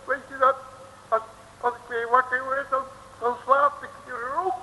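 An actor in a 1950s radio play playing a bumbling detective who stammers and blusters with comic bravado. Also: male voice, very high voice, little pitch movement, measured pace, pushed and bright, a little hiss or hum, heavily acted, rough recording. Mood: blustering. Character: boastful bumbling male detective.

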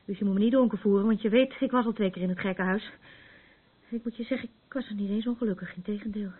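An actress in a radio play, playing a troubled, battered woman who is anxious and rambles haltingly in defence of her abuser. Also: mid-range voice, breathy edge, natural voice. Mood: agitated, confiding. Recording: muffled, phone-like narrow band.

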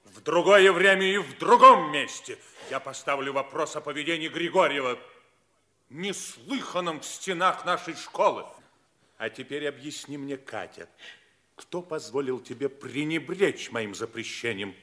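An actor playing a pompous, stern older uncle, a self-pitying villain who speaks like an orator in a 1950s radio drama. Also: male voice, a little high, measured pace, sharp tone. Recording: vintage 1950s radio play recording.

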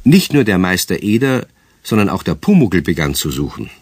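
Animated, husky children's storyteller. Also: male voice, low voice, moderate pace, no room sound, heavily acted. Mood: storytelling. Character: lively storyteller addressing children. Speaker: narrator. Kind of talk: scripted children's story narration.

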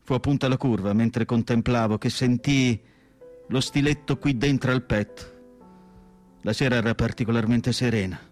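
An actor playing an elderly, melancholy asylum doctor and writer in a measured, solemn radio theatre monologue with pauses between short phrases. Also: male voice, mid-range voice, darkish tone, natural voice. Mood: anguished, resigned. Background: instrumental music.

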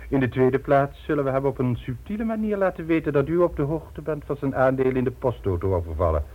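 An actor playing a megalomaniac, smugly polite criminal mastermind in a radio drama, making grandiose, condescending speeches. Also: male voice, medium pitch, very animated, fast talker. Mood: coolly menacing. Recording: dull lo-fi old radio recording.

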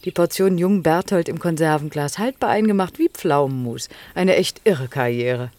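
An actress playing a teasing, inquisitive young student in a naturalistic radio play, probing with questions. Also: female voice, low-pitched, lively intonation, moderate pace, a little mellow. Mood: teasing, curious. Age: young adult.

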